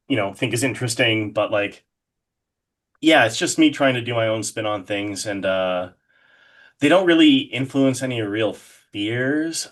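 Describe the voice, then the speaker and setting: A man speaking as an interview guest in a casual, rambling, informal way with lots of hedging. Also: mid-range voice. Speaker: interview guest, horror artist.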